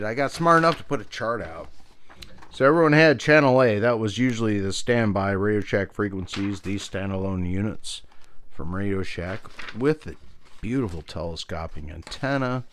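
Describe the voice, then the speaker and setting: A man's voice: lowish voice, a bit bright, slight background noise. A middle-aged man giving a casual, meandering show-and-tell as an enthusiastic hobbyist host. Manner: meandering, enthusiastic about old gear. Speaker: video host, radio hobbyist.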